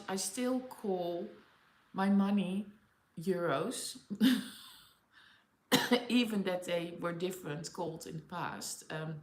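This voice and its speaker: A woman's voice: lowish voice, roomy sound, a little hiss or hum. A woman from the Netherlands with a Dutch accent, chatting casually with viewers on a livestream and laughing often. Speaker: livestream host. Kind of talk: casual live chat with viewers. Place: home in Auckland.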